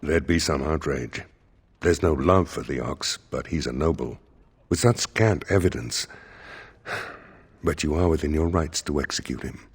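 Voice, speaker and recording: Deep, husky, formal seneschal. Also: male voice, exaggerated voice. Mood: dry, weary. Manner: measured, formal, dryly wry. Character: stern male seneschal, formal, wry court steward. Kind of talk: video game voice acting.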